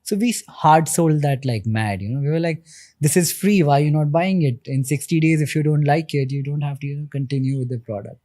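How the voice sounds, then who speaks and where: Mellow-voiced Indian man explaining calmly. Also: a little high, dry recording, studio quality. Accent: Indian English. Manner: measured, explanatory, frequent "uh" fillers. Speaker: guest. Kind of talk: podcast interview.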